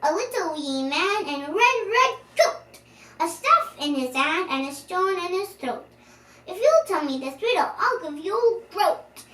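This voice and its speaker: Child putting on a squeaky, cheeky little squirrel. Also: very animated, a little slow, sharp tone, heavily acted. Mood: cheeky, sing-song. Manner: taunting sing-song riddling. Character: cheeky, impertinent little male red squirrel. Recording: roomy, distant mic, faint noise.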